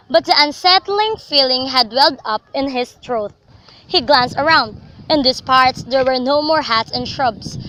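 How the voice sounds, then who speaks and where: A woman's voice: high-pitched, pushed and bright. A young woman reading scripted, dramatic story narration for a student radio drama. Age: young adult. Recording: faintly noisy amateur recording.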